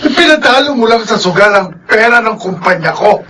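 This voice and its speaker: An outraged boss in a radio drama. His voice is very high, sharp and forceful, heard a little far from the mic. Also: animated, normal speed, a little hiss or hum. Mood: furious. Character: betrayed, outraged male company boss scolding his cousin. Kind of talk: heated radio drama acting.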